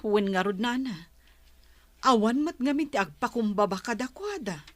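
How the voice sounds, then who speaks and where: A woman's voice: on the high side, animated, moderate pace, no room sound, natural voice. A woman playing a weary, patient village mother who pleads with and admonishes her children, in an Ilocano-accented radio drama. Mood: resigned, troubled. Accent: Ilocano, northern Philippines.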